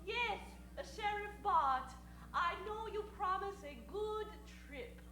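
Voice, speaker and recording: A woman's voice: extremely high pitch, animated, takes it slowly, pushed and bright, heavily acted. A woman playing an earnest, eager young princess in an improvised old-time radio comedy, speaking with great care. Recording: echoey live stage.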